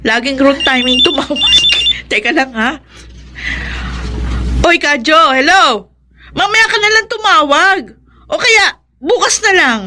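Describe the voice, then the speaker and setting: An actress with a Filipino accent playing a busy, trusting wife and shopkeeper, chatty and practical, in radio drama style. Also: female voice, high voice, expressive, unhurried, pauses often, bright, forceful tone, slight background noise, heavily acted. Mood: distracted, brisk.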